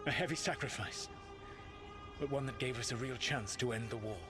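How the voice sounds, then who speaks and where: An actor playing a grave, commanding ancient elven mage in a video game, with formal, deliberate phrasing. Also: male voice, mid-range voice, close to an everyday voice. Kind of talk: dramatic video game voice acting. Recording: loud music behind the voice.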